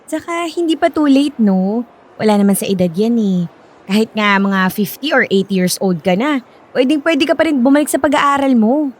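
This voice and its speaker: Actress as a high-voiced, encouraging Filipino friend. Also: female voice, normal speed, natural voice. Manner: coaxing, supportive, reassuring. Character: encouraging, chatty former classmate, a woman working at a school. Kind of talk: Filipino radio drama acting.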